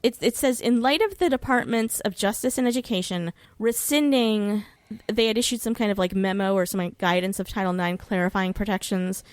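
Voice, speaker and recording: A woman's voice: medium pitch, brisk, dry recording. A woman talking as a podcast guest, explaining things informally and hesitantly, with some swearing. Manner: informal, sweary, hesitant while recalling details. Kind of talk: casual conversational explanation of legal issues.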